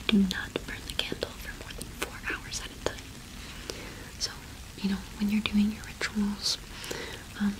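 A young woman playing a friendly, gentle cottage witch shopkeeper in a whispered ASMR roleplay, unhurried and attentive, close to the microphone. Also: mid-range voice, natural voice, rough recording. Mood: calm, reading aloud, then gentle, helpful. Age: young adult.

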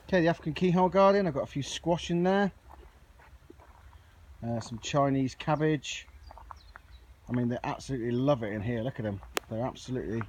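A man's voice: mid-range voice, a little mellow. A man giving a casual, chatty off-the-cuff video tour as an amateur gardening vlogger, outdoors on a handheld camera. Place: outdoors on an allotment. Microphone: handheld camera or phone. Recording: clearly noisy outdoor handheld recording.

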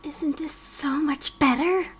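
A woman voicing an eerily calm, quietly menacing hypnotized young man in an amateur game roleplay. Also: sits high, slow. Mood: quiet menace.

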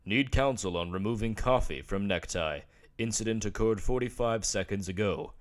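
A man's voice: lowish voice, normal speed, natural voice. A man playing an earnest, deadly serious businessman in a web comic dub, speaking in clipped, telegraphic phrases. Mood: grave, urgent. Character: earnest businessman dictating clipped, solemn text messages.

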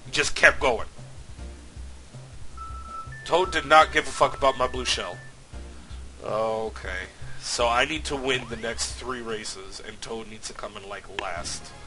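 Male let's-play host, stuffy from a cold, crisp bright tone, casual and foul-mouthed, prone to shouting. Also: medium pitch, a little slow, pauses often, faint music behind, low-quality recording. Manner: excitable, swears a lot, loud outbursts. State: a little sick, slightly nasal. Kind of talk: casual gameplay commentary.

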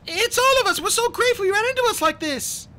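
A man's voice: very high-pitched, animated, fast, bright, forceful tone, voice pushed up, heavily acted. A man voicing an earnest, determined young girl in an audiobook story. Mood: relieved, urgent.

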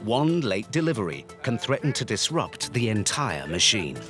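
A man's voice: on the low side, big pitch swings, moderate pace. A man delivering scripted narration for a documentary. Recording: music under the voice. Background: background music.

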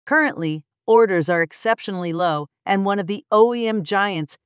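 A woman delivering scripted documentary narration in an even, explanatory newsreader manner, recorded close as a voice-over. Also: medium pitch, normal speed, smooth, no echo. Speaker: narrator of a news channel.

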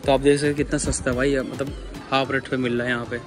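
North Indian man, even-toned vlogger, music behind. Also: medium pitch, fast talker. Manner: repetitive, addresses viewers as buddies. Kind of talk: casual walk-through shopping vlog commentary. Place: large busy supermarket, indoors. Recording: background music over store vlog audio.

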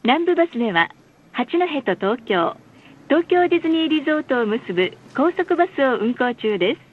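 A woman reading scripted bus stop announcements in a polite, formal, measured way. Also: sits high, sing-song, husky. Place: recorded announcement played inside a bus. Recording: muffled, lo-fi bus speaker recording.

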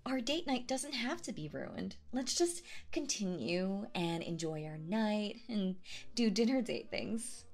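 Woman acting lively, possessive, flustered yandere girlfriend. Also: medium pitch, takes it slowly, soft background music, exaggerated voice. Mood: flustered, eager. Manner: flustered, stammering, nervous giggles, swinging to jealous. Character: sweet, possessive, obsessive young woman on a date. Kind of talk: roleplay audio acting, intimate one-sided dialogue.

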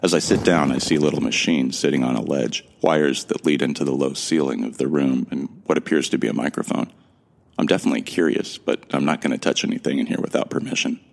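A man's voice: a bass voice, fast, vocal fry. A man narrating an audio drama in the first person, earnest and bewildered. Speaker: narrator and lead actor.

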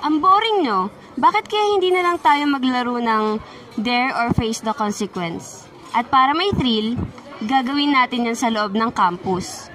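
A woman's voice: on the high side, a little slow, a bit bright, far from the mic, a faint crowd, poor audio. A woman playing a bossy, snooty teenage girl who leads her clique, commanding and taunting, in a radio drama. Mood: scheming. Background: background music.

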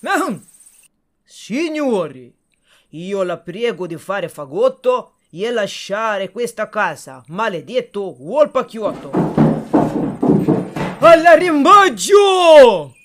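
A man's voice: sits high, big pitch swings, noisy background, exaggerated voice. A man voicing a timid bear. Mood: blustering. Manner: broken grammar, slow and hesitant. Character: big timid male bear, clumsy and cowardly. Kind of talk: children's audio story acting.

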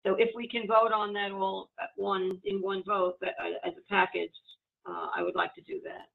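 A woman reporting a committee's findings at a public board meeting, pausing often with fillers and restarts. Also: medium pitch, little pitch movement, vocal fry. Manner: halting, many fillers and restarts. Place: home, video call. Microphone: video call. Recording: tinny phone-like video call audio.

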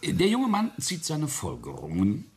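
Middle-aged tycoon father, radio play, very husky, dramatic swinging intonation, cold and menacing. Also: huge pitch swings, a little mellow, full character voice. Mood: cold, intense. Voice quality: husky, low. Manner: deliberate, pointed, pausing storyteller. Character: self-made industrialist father, cold, bitter, deliberate, menacing. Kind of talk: German radio drama acting.

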